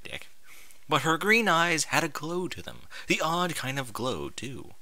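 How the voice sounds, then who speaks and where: A man's voice: a little high, sing-song, a little slow, sharp tone, dry recording. A man reading a story aloud with exasperated, sarcastic, sweary commentary. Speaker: host reading and mocking amateur fan fiction aloud.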